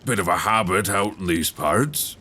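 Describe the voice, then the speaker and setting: A man playing a gentle but probing, folksy 19th-century lighthouse keeper in an intimate ASMR suspense roleplay, soft-spoken, halting and reassuring, close on a binaural mic. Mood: friendly, hospitable. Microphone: close binaural microphone. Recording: faint low rumbling background noise.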